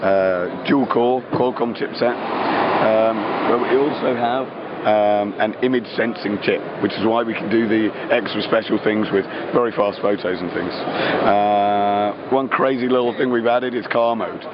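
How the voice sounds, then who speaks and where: A man talks through a gadget demo in a croaky, worn voice, stopping often for ums and uhs. His pitch sits in an ordinary middle range. The recording is dull and lo-fi, with loud noise behind him. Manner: fillers, quick geeky explaining. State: croaky, tired voice. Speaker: company product presenter. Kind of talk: casual product demo.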